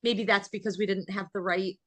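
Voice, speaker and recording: A woman's voice: medium pitch, steady tone, fairly quick, a bit bright, dry recording. An American woman hosting a podcast in a casual, chatty way, bubbly and joking at her own expense. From New York, United States. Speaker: podcast host.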